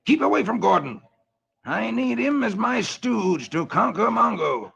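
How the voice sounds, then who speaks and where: A man's voice: high voice, very animated, unhurried, creaky, fairly bright tone, slightly rough, voice pushed up, heavily acted. A man voicing an angry, domineering space villain in 1940s radio style, commanding and scornful. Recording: dull, noisy vintage radio recording.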